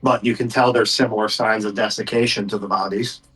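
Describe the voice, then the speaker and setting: A man's voice: fairly low pitch, fairly even intonation, a little fast, a bit bright, poor audio. A man acting as game master, casually narrating and steering a tabletop game.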